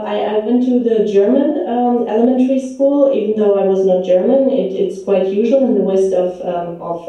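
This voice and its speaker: A woman who grew up in Romania speaks slowly and haltingly, filling her pauses with ums. Her voice is very dark and mellow. She sounds far from the microphone in an echoey pub room, and the sound is dull. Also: mid-range voice. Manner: hesitant, many ums and restarts. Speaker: film director. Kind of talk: interview answers.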